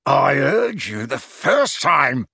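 A man's voice: a little high, sing-song, a little slow, gravelly, sharp tone, slightly rough, dry recording, heavily acted. Guy doing a nasty old wizard dad, contemptuous bellowing and jeering, audiobook villain, really dry sound. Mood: snapping, scornful. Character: vicious, sneering, bullying old wizard father. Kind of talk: dramatised audiobook character voice.

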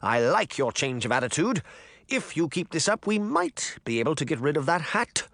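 A man's voice: a little high, very animated, moderate pace, a bit bright, no room sound, voice pushed up. A man voicing a pompous, sneering male mayor who bullies everyone around him, with a raised, condescending voice.

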